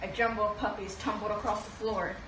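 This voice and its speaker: A young adult woman reading a children's picture book aloud with expressive delivery, recorded from a camera in a small room. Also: medium pitch, brisk. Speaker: animal shelter kennel technician reading aloud. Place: small indoor shelter room. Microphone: camera a few feet away. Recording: roomy, noisy, distant camera microphone.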